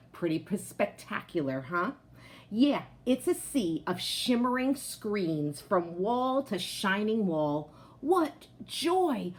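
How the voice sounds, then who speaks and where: A woman's voice: medium pitch, darkish tone. A woman voicing a smug, comfort-loving male potato from a picture book, boastful and exclamatory. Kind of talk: picture-book character narration.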